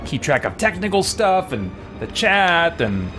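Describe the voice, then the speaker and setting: A man's voice: on the high side, sing-song, measured pace, music in the background. A man doing casual live-stream gameplay commentary, thinking aloud in an easygoing, chatty way. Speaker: game streamer, host.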